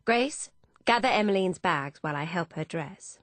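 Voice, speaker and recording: A woman's voice: on the low side, expressive, moderate pace, dry recording, lightly acted. A woman voicing a stern, protective elder sister in an audiobook, with a grim, commanding manner.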